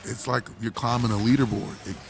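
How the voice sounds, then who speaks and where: A man reviews a video game in a hoarse, gravelly voice that is still worn from illness. He talks in a loose, rambling way, restarting sentences and dropping casual slang. A steady wash of background noise sits under the recording. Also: medium pitch, fairly quick.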